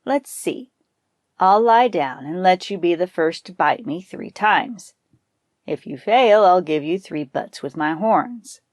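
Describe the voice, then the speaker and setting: A woman's voice: on the low side, animated, slow, dry recording, studio quality. A woman voicing a proud, long-suffering male buffalo in a folktale, with an emphatic, defiant delivery. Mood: confident.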